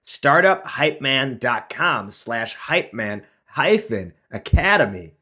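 A man hosting an interview podcast, delivering a lively, sing-song scripted intro before chatting with his guest. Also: mid-range voice, slow. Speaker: podcast host.

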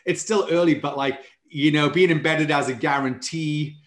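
A man's voice: fairly high pitch, moderate pace. A man with a Welsh accent, a startup founder speaking as an interview guest, upbeat and fluent as he explains his business. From Wales. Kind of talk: casual interview chat. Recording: roomy echo.

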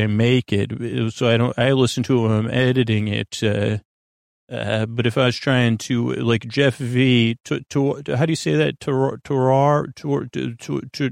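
A man with a gravelly, creaky voice talks close and dry into the microphone as a podcast host. He wanders through a sleepy bedtime monologue, doubling back and leaving thoughts half finished. His delivery is gently reassuring. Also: medium pitch, no echo. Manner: meandering, halting, often trailing off and doubling back. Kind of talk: rambling bedtime monologue meant to help listeners sleep.

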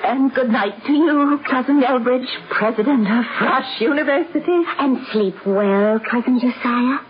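Actress doing a high voiced haunted lady, drawn-out dramatic old radio play, dull muffled sound. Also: natural voice. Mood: polite, uneasy. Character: frightened, genteel woman haunted by ghastly sights. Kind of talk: 1970s radio drama acting. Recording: muffled vintage radio broadcast.

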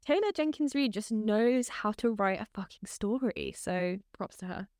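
A chatty woman co-hosting a podcast, gushing with enthusiasm and peppering her talk with "like" and casual swearing. Her voice sits at an ordinary middle pitch. The sound is dry and close, with no room around it. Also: animated, moderate pace, darkish tone, no room sound. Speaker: podcast co-host. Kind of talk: casual chat.

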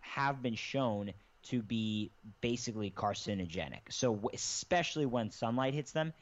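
A man's voice: mid-range voice, animated, moderate pace. Rambling man with slightly muffled sound. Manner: rambling, self-correcting explanations. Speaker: podcast guest. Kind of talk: casual conversation.

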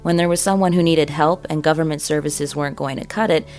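Low-voiced woman podcast host. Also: fast, faint music behind. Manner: even, read-from-script delivery. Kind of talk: scripted narration.

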